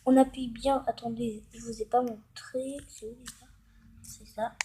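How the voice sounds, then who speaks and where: A woman hosting a casual, improvised tutorial, hesitant and halting as she thinks aloud while demonstrating. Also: a little high, level tone, dark tone, husky. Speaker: tutorial host.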